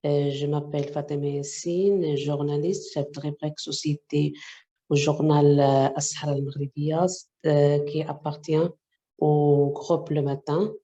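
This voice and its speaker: A woman journalist whose first language is Arabic, speaking haltingly with many hesitations in a webinar discussion over a video call. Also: low-pitched, flat delivery, mellow, highs cut off. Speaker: journalist, section editor.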